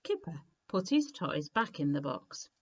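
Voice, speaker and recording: A woman narrates a children's story with a lowish voice and very lively intonation. She is deliberate and unhurried, placing dramatic emphasis on phrases. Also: muffled recording. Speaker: story reader.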